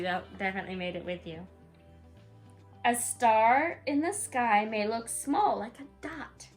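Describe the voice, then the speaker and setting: A woman with a fairly high voice reads a rhyming picture book at bedtime. Her delivery is sing-song and aimed at a small child, slipping into casual, expressive asides. Soft music plays behind her. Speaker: parent reading a picture book aloud to a young child. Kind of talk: bedtime story read-aloud with casual asides.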